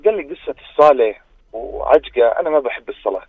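A Jordanian man in his thirties phones in and rattles off a personal anecdote. His voice is middle-pitched and very fast. The phone line is thin and dry. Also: animated, slightly rough, no room sound. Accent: Jordanian Arabic. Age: 30s. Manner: brisk anecdotal storytelling. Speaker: caller.

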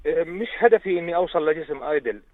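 رجل أردني سريع الكلام جدًا. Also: male voice, fairly high pitch, animated, rapid-fire, no room sound, phone-quality sound. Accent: Jordanian. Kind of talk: casual radio chat.

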